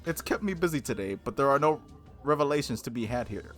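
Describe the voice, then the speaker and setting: A gaming host reading visual novel text aloud and commenting on it casually, in an animated, playful, slangy way. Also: mid-range voice, quick pace, soft background music.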